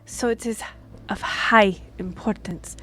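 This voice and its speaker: Woman playing a slow-speaking, courteous half-elf noblewoman. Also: mid-range voice, fairly even intonation, a bit bright, poor audio. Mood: courteous, formal. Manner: formal, measured, diplomatic. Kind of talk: scripted fantasy audio roleplay.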